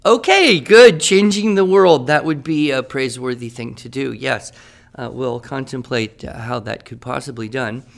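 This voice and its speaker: A man's voice: medium pitch, normal speed, gravelly. A man playing a writing teacher who leads a class in an upbeat, encouraging and wordy way. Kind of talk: scripted classroom radio drama.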